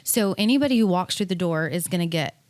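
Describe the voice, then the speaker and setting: Chatty woman, slightly low voice, dry sound. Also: lively intonation, fast talker, no echo. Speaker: gym owner, interview guest. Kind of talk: casual conversational interview.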